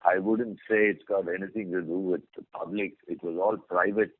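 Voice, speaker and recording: A man speaking as a company executive on an earnings call, giving business updates in measured, hesitant phrasing. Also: deep voice, steady tone, dry recording. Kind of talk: business update and answering analyst questions. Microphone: phone conference line. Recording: phone-like conference call line.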